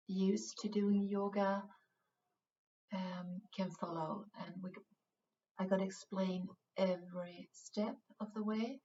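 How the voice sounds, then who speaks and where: A Swedish-accented woman guiding a beginner yoga class, her words unhurried and broken by pauses. She sounds set back from the mic in a roomy, reverberant space. The recording is dull and muffled, with a faint hiss underneath. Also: mid-range voice, darkish tone, distant mic. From Sweden. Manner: slow, patient, with many hesitations. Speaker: yoga instructor. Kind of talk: guided yoga class instruction.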